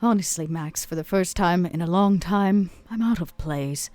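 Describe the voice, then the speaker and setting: A woman playing a weary, imperious, hard-bitten boss in a tabletop game, speaking in a measured, formal way. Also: fairly low pitch, darkish tone, dry recording, lightly acted. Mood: weary defiance. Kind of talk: improvised tabletop roleplay.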